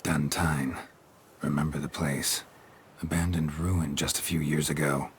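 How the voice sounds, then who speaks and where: A man's voice: rumbling low, little pitch movement, unhurried. A man with an American accent playing a stoic, middle-aged monster hunter in a video game, speaking in terse, clipped, world-weary phrases. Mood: matter-of-fact. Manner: terse, clipped, drops sentence subjects. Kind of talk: video game dialogue acting. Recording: clear background noise, ambience.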